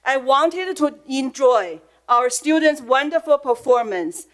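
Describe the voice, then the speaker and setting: A woman's voice: high voice, animated, slow. A middle-aged woman with a Chinese accent, giving an earnest, emotional prepared comment at a public school board meeting.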